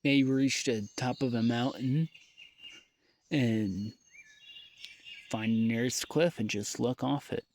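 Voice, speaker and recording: Weary young man, slow halting diary talk. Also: mid-range voice, fairly even intonation, darkish tone, a little hiss or hum. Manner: weary, halting, trailing off with sighs. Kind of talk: personal audio diary monologue.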